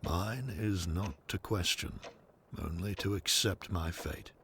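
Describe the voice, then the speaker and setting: Male actor as lonely elderly man, low-pitched, resigned, halting delivery, audio drama, audible background noise. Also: fairly bright tone, exaggerated voice. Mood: resigned, gentle. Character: very old, lonely, weary man resigned to death. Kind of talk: audio drama character acting.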